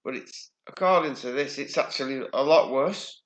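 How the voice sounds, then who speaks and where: A man's voice: mid-range voice, normal speed, echoey room, muffled recording. Sardonic man reading the news. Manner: dry, sardonic, swears casually. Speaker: channel host. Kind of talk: reading news aloud with sarcastic commentary.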